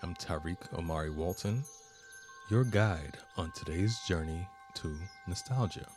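A man narrating a guided meditation as a podcast host, with measured, soothing, deliberate phrasing. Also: sits low, music in the background.

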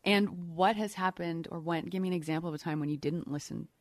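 Low-pitched woman asking probing questions. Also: fast talker. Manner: curious, probing, encouraging. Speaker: podcast host. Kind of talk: interview questions.

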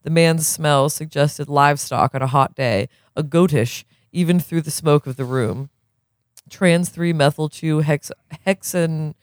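A man's voice: fairly high pitch, no room sound, clean studio sound. A man reading a literary novel aloud as narrator. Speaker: reader, co-host.

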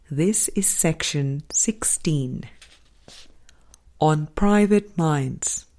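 A woman reading a spiritual audiobook as scripted narration, in deliberate, measured phrases with pauses between them. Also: deep voice, warm tone. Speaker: audiobook reader.

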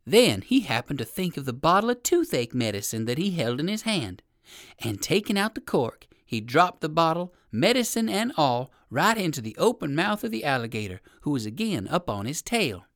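A woman narrating a children's story in an expressive, emphatic storybook style, speaking to young listeners. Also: deep voice, normal speed, dry recording, clean studio sound.

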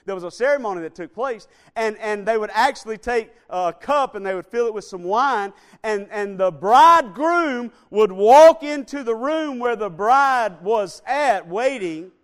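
Male preacher, high clear resonant voice, surges loud then drops to hushed pleas. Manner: swings between loud shouted build-ups and soft low appeals. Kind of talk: sermon.

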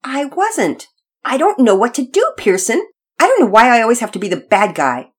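A woman's voice: fairly high pitch, wildly swooping pitch, moderate pace, slightly rough, voice pushed up, exaggerated voice. A woman voicing a sensitive, reluctant little girl, plaintive and defensive, in a children's storybook.

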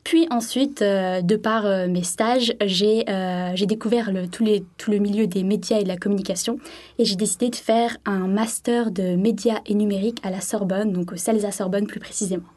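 A woman's voice: mid-range voice, fairly even intonation, normal speed, darkish tone. Young woman from southern France, chatting. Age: young adult. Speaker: interview guest, French language assistant. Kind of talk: conversational radio interview.

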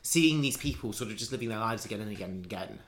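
A man's voice: on the low side, animated, fast talker, fairly bright tone, low-quality recording. A man in casual conversation, musing at length with many restarts and fillers. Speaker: conversation partner.

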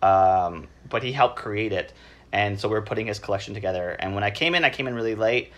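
A man chatting casually as a podcast guest, with an animated, self-deprecating, rambling manner. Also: on the low side, quick pace. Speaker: podcast guest, library worker. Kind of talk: casual conversational interview.

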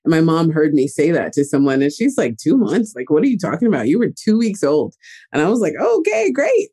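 Chatty man with a mellow voice. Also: fairly high pitch, brisk, breathy edge. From United States. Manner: animated, chatty storyteller. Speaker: guest. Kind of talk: casual interview talk.

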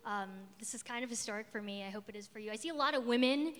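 A woman's voice: a little high, very fast, a little hiss or hum. A woman moderating a live panel and podcast, upbeat with laughing asides. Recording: reverberant hall.

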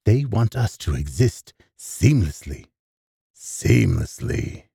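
Gravelly male horror narrator, very deep and dark-toned; theatrical, close and dry. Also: dry recording. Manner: theatrical, with sudden gasps and hushed asides. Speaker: storyteller. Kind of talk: dramatic first-person horror narration.

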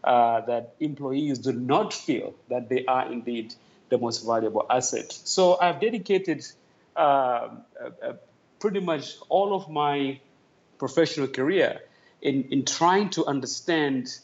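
A man from Tanzania, a guest calling in remotely to a podcast, answering thoughtfully with many "uh" pauses. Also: mid-range voice, dull audio. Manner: thoughtful, many "uh" fillers, earnest. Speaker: podcast guest, talent development executive. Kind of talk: conversational interview answer.

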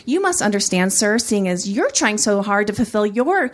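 A woman's voice: medium pitch, very animated, moderate pace. A woman voicing a brash, cocky young female stand-up comic with a sassy, exclamatory delivery in a story reading. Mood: sweetly sarcastic. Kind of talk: first-person comic fiction reading.

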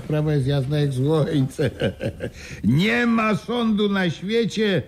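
An actor in a radio play playing an excitable, scatterbrained businessman who stammers, repeats himself and chuckles. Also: on the high side, takes it slowly. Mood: gleeful. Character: excitable, scatterbrained businessman, gleeful company partner. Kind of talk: 1980s Polish radio play acting.

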